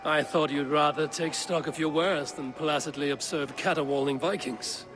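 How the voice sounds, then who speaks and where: A confident Viking warrior played by a male actor for a video game, curious and dryly teasing. His voice is slightly high for a man. Music plays clearly behind him. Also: fairly even intonation, normal speed, lightly acted. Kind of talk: game voice acting.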